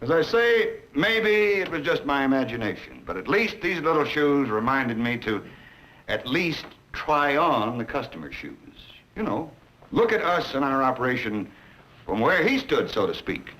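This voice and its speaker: A dealership manager speaks in an even middle register, reasonable and persuasive. His delivery is instructive, and his pitch moves freely. The whole thing sounds like an old sales-training drama. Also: normal speed, low-quality recording. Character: earnest middle-aged male dealership manager. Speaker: dealership boss. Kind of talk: 1950s training film acting.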